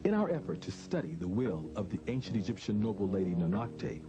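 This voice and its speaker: An American man, a host with a measured, formal way of narrating a scripted documentary and interviewing. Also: lowish voice, very animated, highs cut off, music in the background.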